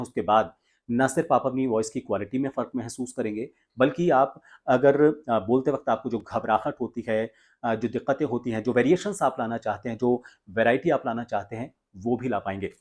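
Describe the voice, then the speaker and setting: A man's voice: mid-range voice, a little mellow. A man from India presenting an instructional tutorial as a voice coach, explaining exercises step by step.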